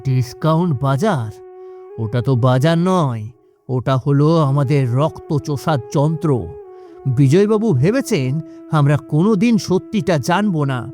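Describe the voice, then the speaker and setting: Man playing an earnest, high-pitched village rebel. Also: expressive, normal speed, a little mellow, soft background music, natural voice. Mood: resolute. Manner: earnest, accusing. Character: defiant, outspoken village man standing up to exploiters. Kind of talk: cartoon dialogue.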